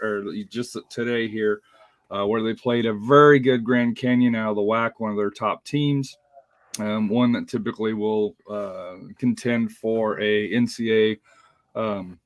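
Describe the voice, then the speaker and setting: A man's voice: lowish voice, dry recording. A man, hosting a casual soccer talk show and rambling with lots of fillers. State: slightly raspy voice. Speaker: podcast host.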